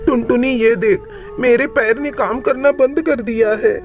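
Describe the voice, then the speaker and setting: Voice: mid-range voice, a little slow, close to an everyday voice. An actor voicing an adult male crow in a cartoon, a family-minded husband who speaks plainly and earnestly. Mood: alarmed. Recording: faint music behind.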